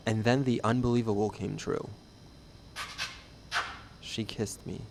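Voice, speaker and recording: A man narrating a scripted first-person story in a deadpan way. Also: fairly low pitch, measured pace, creaky, heavy background noise.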